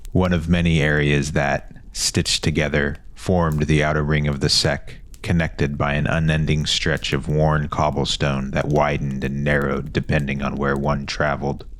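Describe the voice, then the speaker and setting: A man's voice: extremely low pitch, fairly bright tone. A man reading a scripted fantasy story as its narrator.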